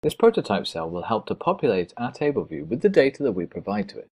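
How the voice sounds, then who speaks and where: A man's voice: on the low side, very animated, fast, a little mellow, slightly rough. A man narrating a step-by-step programming screencast as an instructor, in a methodical, explanatory way.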